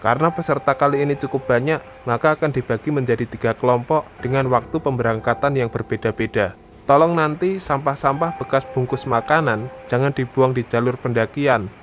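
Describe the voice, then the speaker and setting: A man's voice: mid-range voice, a little hiss or hum, pitched above the performer's own voice, natural voice. A man playing a polite, formal mountain trip leader who instructs his group in a cartoon. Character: polite, orderly male mountain trip leader giving briefing.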